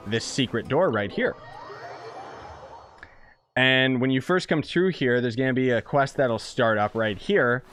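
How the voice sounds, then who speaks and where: A young man doing casual live game commentary in an excitable, playful, slangy way. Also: medium pitch. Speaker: game streamer. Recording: game music behind the voice. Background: game music.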